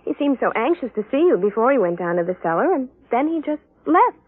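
An actress playing a sweet, trusting, easily frightened wife in a classic radio drama, with a fluttery manner. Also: female voice, sits high, sing-song, quick pace, husky, no echo, full character voice. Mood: anxious, attentive. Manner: fluttery, gushing, solicitous. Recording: tinny old radio recording.

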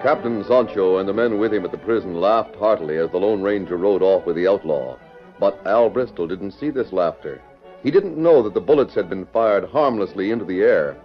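A man's voice: sits low, lively intonation, a little fast, faint music behind. A man performing as the dramatic storyteller of an old-time radio western, reading scripted narration. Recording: muffled vintage radio recording.

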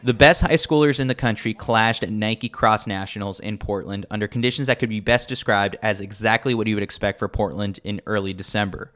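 A man with a fairly low voice reads a scripted sports newsletter aloud. He hosts it like a podcast, delivering the lines in a wry, tongue-in-cheek way. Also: brisk, hardly any pauses, fairly bright tone. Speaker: podcast host reading a newsletter.

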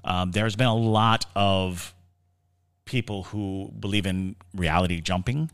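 A man's voice: deep voice, fairly even intonation, a little slow, smooth. A man co-hosting a conversational paranormal podcast, explaining his ideas in a musing way and often repeating words as he gathers them. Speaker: podcast co-host.

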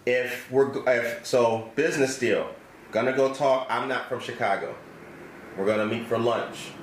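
A man's voice: medium pitch, steady tone, normal speed. Man interviewing in an echoey room. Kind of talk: casual, joking interview chat. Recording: roomy echo, faint background noise.

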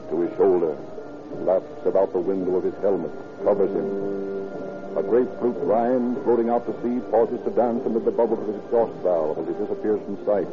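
Muffled vintage radio sound with music behind. An actor plays a grim, poetic male narrator of a crime tale. His low voice is ominous and florid, with theatrical delivery. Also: natural voice. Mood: solemn, suspenseful. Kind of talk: old-time radio crime drama narration.

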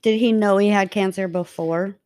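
A woman co-hosting a podcast, asking follow-up questions in a halting, casual way. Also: mid-range voice, fairly even intonation, darkish tone, no room sound, studio quality. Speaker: podcast co-host.

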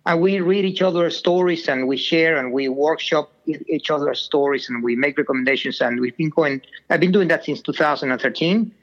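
A man's voice: on the high side, brisk, hardly any pauses. A middle-aged man from Venezuela, a podcast guest on a phone line, talking hesitantly with many fillers.

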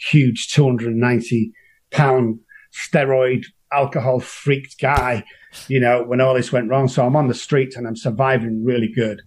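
A middle-aged man from Manchester speaks with a strong local accent at an ordinary pitch. He tells his story earnestly and emotionally, often stopping and restarting his sentences. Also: darkish tone. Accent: Manchester English. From Manchester, England. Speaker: guest. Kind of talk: personal recovery story.

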